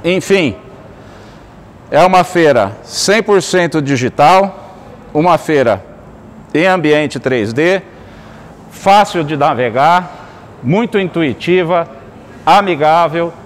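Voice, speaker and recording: A man's voice: a little high, slow, pauses often. A middle-aged man, an executive, guiding viewers step by step through a digital fair on a touchscreen in a large store. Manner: steady explanatory tone, narrating each click. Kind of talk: product walkthrough.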